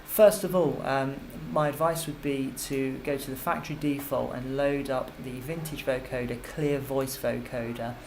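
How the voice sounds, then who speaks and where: A man giving an informal, step-by-step software tutorial as a music technology teacher, explaining as he goes. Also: mid-range voice, fairly even intonation, normal speed, hardly any pauses. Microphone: computer microphone. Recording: clearly noisy computer microphone recording.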